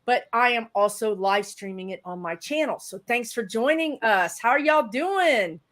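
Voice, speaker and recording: A woman, a psychic medium chatting casually as a livestream guest, rambling in a loud voice. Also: on the high side, expressive, normal speed.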